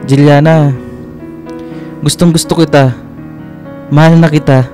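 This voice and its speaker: A man's voice: on the high side, fairly even intonation, unhurried, mellow, faint music behind, close to an everyday voice. A young man playing a devoted boyfriend and playful son in a radio drama, sincere and lightly teasing. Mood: earnest, romantic. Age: young adult. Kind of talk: Filipino radio drama acting.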